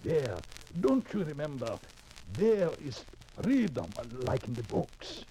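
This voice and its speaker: An actor playing a poor immigrant man from Europe with broken English, halting and stumbling as he speaks, in 1940s radio style. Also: a little high, wildly swooping pitch, darkish tone, a little hiss or hum, full character voice. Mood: hopeful.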